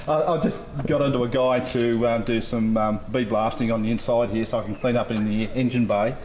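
A man's voice: mid-range voice, fairly even intonation, brisk, darkish tone. An Australian man in his sixties, a car collector chatting casually and with some hesitation about his cars in a large workshop shed. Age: 60s. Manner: rambling, hesitant, laughs easily. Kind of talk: casual interview chat. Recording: very echoey shed with noise.

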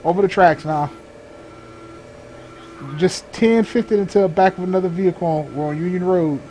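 A man with a fairly high voice plays a patrol deputy in a video game. He gives clipped radio-style updates and drops into casual muttered asides. Vehicle noise runs faintly behind him. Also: normal speed, mellow. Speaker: gamer playing a sheriff's deputy in a police patrol role-play. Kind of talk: improvised police radio call-outs and casual gameplay commentary. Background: game vehicle and ambient sounds.

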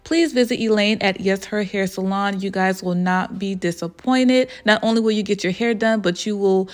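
Young adult woman vlogger, cheerful promotional read, mid-pitched. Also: moderate pace. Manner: upbeat, promotional. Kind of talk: vlog voice-over.

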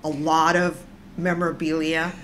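A woman's voice: sits low, level tone, normal speed, a bit bright, slight background noise. A woman in her fifties co-hosting a casual vlog, chatty and opinionated, often laughing, seated on a sofa indoors. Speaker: vlog co-host. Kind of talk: casual chat. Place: indoors, living room with orange sofa.

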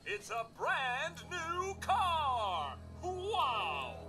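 A man's voice: very high voice, sing-song, drawn-out words, pushed and bright, soft background music, heavily acted. A man playing a showy robot game show host in a video game, with exuberant, theatrical announcer patter. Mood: showy, excited.

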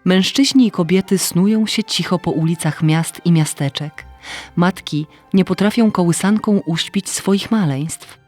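Man, narrator, scripted fairy tale, raised pitch, faint music bed. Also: a little slow.

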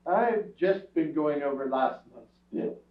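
Man acting high-pitched, exasperated rich father. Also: lively intonation, unhurried. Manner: sarcastic, blustering. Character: exasperated, grumbling wealthy father of a madcap family. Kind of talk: staged radio play reading. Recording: echoey stage, far mic, muffled.

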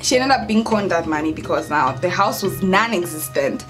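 A young adult woman with a Kenyan accent, telling a story in casual vlog style, expressive and emphatic, repeating words for stress. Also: lowish voice, normal speed, soft background music. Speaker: vlogger, storyteller. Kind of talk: casual storytime chat to camera.

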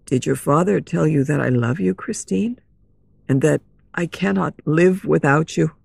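A woman's voice: low-pitched, a little slow, vocal fry, a little mellow, slightly rough, no echo, exaggerated voice. A woman playing an earnest, lovesick young nobleman, wounded and insistent, in an audiobook story.

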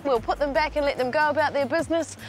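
A woman's voice: sits high, fast, soft background music. A woman in her thirties with a New Zealand accent, presenting a nature TV segment in an upbeat, chatty way from outdoors beside a stream. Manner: upbeat, chatty, plain-spoken.

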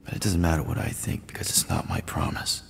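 An actor playing a gentle, awkward man in a video game, speaking haltingly and earnestly to reassure. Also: extremely low pitch, sing-song, full character voice. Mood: earnest, firm. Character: gentle, awkward man consoling a grieving child. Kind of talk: naturalistic video game cutscene acting. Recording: long reverb, clear background noise.

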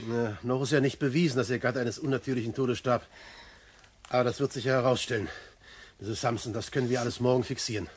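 A medium-pitched male inspector, played by an actor in a radio crime play. He is soothing and fatherly in his reassurance, yet brisk and authoritative. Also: fairly even intonation, slight background noise, close to an everyday voice. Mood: businesslike. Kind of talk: German radio crime drama acting.

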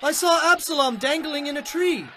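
Man doing a high, defiant soldier. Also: moderate pace, pushed and bright, slight background noise. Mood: defiant, indignant. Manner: argumentative. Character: defiant, indignant male soldier standing up to commander. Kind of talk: dramatized scripture audiobook.

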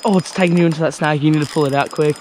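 A young man talks in a slightly higher register, exclaiming and repeating his words as he fishes. He is heard outdoors on a creek bank. The action-camera audio has clear background noise. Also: racing. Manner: excitable, repeats himself, exclaims loudly. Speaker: fishing companion. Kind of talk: excited casual chat.

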